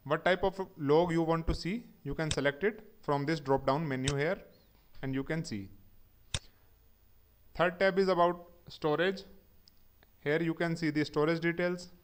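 A man's voice: on the high side, steady tone, unhurried, long pauses, slight background noise. A man giving a step-by-step tech tutorial over a screen recording, methodically spelling out each command. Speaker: instructor.

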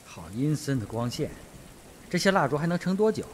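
Sardonic colonel played by a male actor for a radio whodunit: higher-pitched, very animated, needling and self-possessed. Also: brisk, slight background noise, close to an everyday voice. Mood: coolly probing. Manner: mocking, provocative. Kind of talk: Mandarin radio mystery drama acting.

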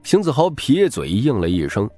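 A man's voice: mid-range voice, huge pitch swings, normal speed, mellow, faint music behind. A man reading a novel aloud as a scripted audiobook narrator.

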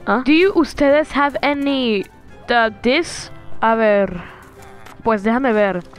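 Friendly cartoon villager or traveller voiced by a woman, casual and playful, on the high side, leisurely pace. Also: slow, faint music behind. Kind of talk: amateur cartoon dub.

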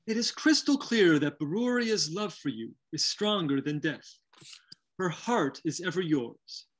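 A man's voice: on the high side, lively intonation, measured pace. A middle-aged man playing a scheming, persuasive manservant in a staged comedy reading over a video call. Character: scheming, persuasive manservant advising his lovesick master. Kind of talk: staged reading of a comic play. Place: book-lined room at home. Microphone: computer on a video call. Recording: noisy home video-call audio.

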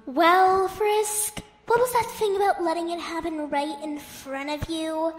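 Woman voicing a very high, echoing needler. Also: steady tone, measured pace, soft background music. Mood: mocking, needling. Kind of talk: cartoon comic dub acting. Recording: echoing hall reverb.

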